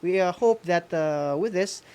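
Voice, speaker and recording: A man with a Filipino accent hosting an educational radio discussion, halting as he rephrases his points. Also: high voice, darkish tone, clean tone. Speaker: host of a radio school program.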